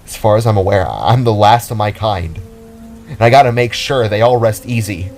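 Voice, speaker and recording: A man plays a brooding alien hero, the grave last survivor of his kind. The voice is fairly low, halting and earnest, with music behind it in an audio drama. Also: a little mellow, natural voice. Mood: sorrowful, determined.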